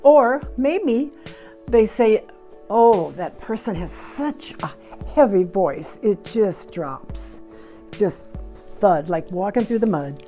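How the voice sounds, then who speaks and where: Slow, lively-pitched woman coaching voice. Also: faint music behind. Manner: slow, expressive, acts out example voices. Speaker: vocal coach presenter. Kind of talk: educational presentation on voice.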